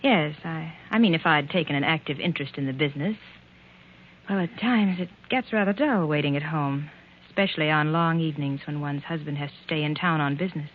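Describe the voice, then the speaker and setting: An actress playing a cool, pointed, jealous wife in 1940s radio mystery style. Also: female voice, low-pitched, a little fast, slight background noise, natural voice. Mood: veiled jealousy. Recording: muffled tinny 1940s radio broadcast.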